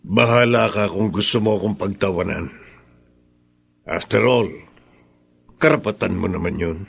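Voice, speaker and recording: Casting: a middle-aged Filipino patriarch in radio melodrama, creaky, husky mid-range voice, earnest, long-suffering. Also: male voice, fairly low pitch, a little slow, full character voice. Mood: pained, resigned. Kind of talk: Filipino radio drama acting.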